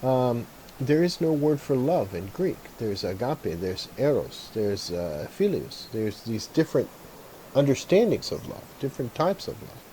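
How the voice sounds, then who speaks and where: A man's voice: mid-range voice, very animated, a little slow, warm tone, slight background noise, poor audio. A man talking casually and reflectively about religion, rambling with many fillers and restarts. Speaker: video maker. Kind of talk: casual talk to camera.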